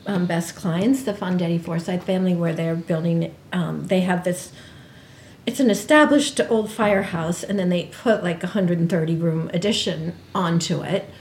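A woman in casual local chat who is losing her voice, chatty and quick to agree. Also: fairly low pitch, darkish tone, slight background noise.

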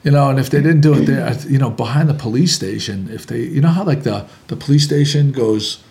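A man's voice: mid-range voice, fast talker, vocal fry, mellow. A man in casual local talk, opinionated and rambling, often restarting his sentences.

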